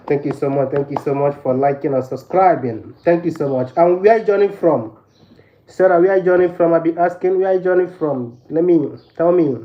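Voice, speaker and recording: Higher-voiced Nigerian man livestreaming, repeating himself. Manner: repeats phrases insistently. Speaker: live stream host. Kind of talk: casual livestream chat, greeting viewers. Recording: dull lo-fi live stream, background noise.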